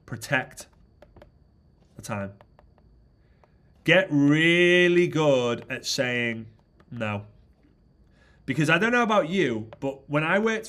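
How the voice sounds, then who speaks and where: Male business coach, a little high-pitched, nasally congested, energetic motivational delivery. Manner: energetic, keeps asking "right?". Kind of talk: motivational training talk.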